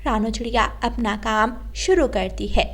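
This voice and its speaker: High-pitched woman narrating children's story. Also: moderate pace, fairly bright tone.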